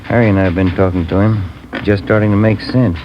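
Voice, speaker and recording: An actor playing a kindly, practical police detective in conversational 1950s radio drama dialogue. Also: male voice, fairly low pitch, fairly even intonation, quick pace, natural voice. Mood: casual, businesslike. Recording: muffled old radio recording, heavy noise.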